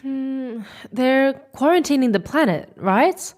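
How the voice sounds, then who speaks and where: A woman's voice: sits high, lively intonation, slow pace, a little mellow. A woman acting a determined, stubborn space explorer in a sci-fi audio drama, pleading and insistent. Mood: scheming.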